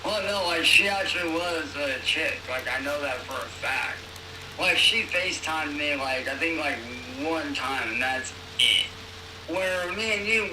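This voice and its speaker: A young man chatting casually and slangily on an echoey, distant, noisy phone recording. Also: on the high side. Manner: slangy, swearing. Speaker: caller.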